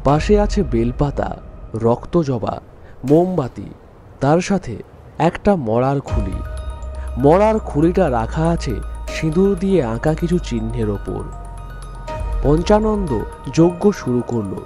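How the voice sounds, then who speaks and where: Male narrator, dramatic storytelling, very lively pitch, mellow timbre, soft music behind. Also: measured pace, long pauses.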